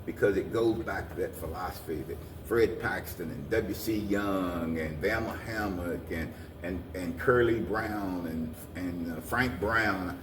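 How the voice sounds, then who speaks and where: Low-voiced man, echoey and distant. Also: unhurried, hardly any pauses. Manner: earnest, rambling, repeats phrases. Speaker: guest, community organization president. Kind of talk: conversational interview answers. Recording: echoey, distant mic, noisy room.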